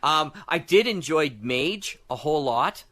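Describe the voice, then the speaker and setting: A man in a casual podcast chat, animated and rambling as he thinks aloud and slips into anecdotes. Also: fairly high pitch, unhurried. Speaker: guest, audio drama creator designing a tabletop game.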